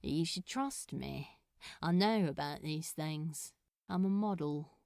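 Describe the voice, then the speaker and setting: A woman's voice: deep voice, slow, vocal fry, darkish tone, no echo, clean studio sound, pitched below the performer's own voice, heavily acted. A woman voicing a laid-back, teasing, cocky man in a fan fiction audiobook, with a casual offhand drawl. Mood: smug, casual.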